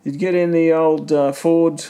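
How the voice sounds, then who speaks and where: A man's voice: a little high, level tone, unhurried, warm tone. A middle-aged Australian man casually reminiscing about family, rambling with fillers and leading questions. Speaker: family member recording memories.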